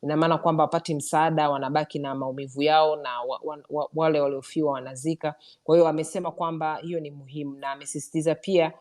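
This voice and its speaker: Fast-talking woman hosting an audio room. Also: low-pitched, fairly even intonation, a little mellow. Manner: fast, summarizing, with frequent fillers. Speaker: host of an online discussion. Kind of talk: moderating a live audio discussion.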